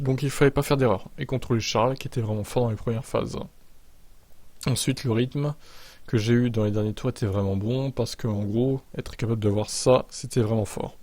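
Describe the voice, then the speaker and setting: A man's voice: mid-range voice, warm tone, no room sound. A man hosting motorsport news, moving between narration and blunt, informal opinion. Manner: informal, sardonic, slangy. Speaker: motorsport commentator and video host.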